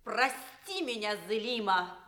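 An actress playing a proud, tormented, scheming young noblewoman held as a slave, declaimed in theatrical radio play style with dramatic pauses. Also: fairly high pitch, very animated, exaggerated voice. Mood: haughty, feigned devotion. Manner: declamatory, dramatic pauses, swelling from hushed to fierce. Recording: roomy, echoing radio theatre recording.

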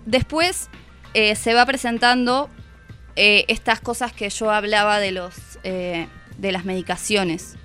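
An Argentine woman speaks as a guest discussing a book, with music playing behind her. Her talk is unscripted and reflective, and she slips into quoted thoughts in a medium-pitched voice. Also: fairly even intonation, slow, sharp tone, clear, resonant tone.

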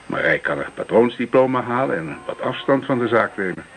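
An actor playing an avuncular older village pastor, paternal and knowing, in a Dutch radio drama. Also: male voice, medium pitch, animated, brisk, soft background music, close to an everyday voice. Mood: reassuring, knowing.

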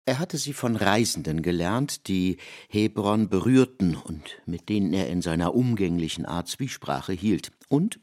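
A man narrating a literary audiobook in a measured, expressive way through long, elaborate sentences. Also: low voice.

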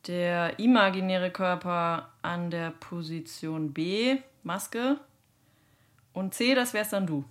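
A woman's voice: lowish voice, slow pace. A woman reading scripted explanatory narration in a formal, precise manner.

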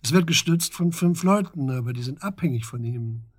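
An actor in a German radio drama playing a scheming, guarded police inspector who speaks tersely. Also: male voice, medium pitch, animated, moderate pace, husky, no room sound, clean studio sound. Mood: conspiratorial.

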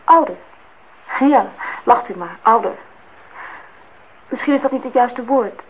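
A high-pitched young woman in a Dutch radio drama. She is defiant and disillusioned, pouring out reproaches. The recording is dull and old, with a layer of noise. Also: expressive, unhurried. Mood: earnest, reproachful. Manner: reproachful, talks in long outpourings. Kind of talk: Dutch radio play acting.

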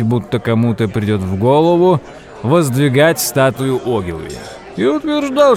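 An actor playing a wry man who tells tall tales in an audio play, theatrical and deliberate, with dramatic pauses. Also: a little high. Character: wry male raconteur telling tall tales over drinks. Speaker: narrator. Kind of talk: dramatized first-person storytelling in an audio play. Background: background music.

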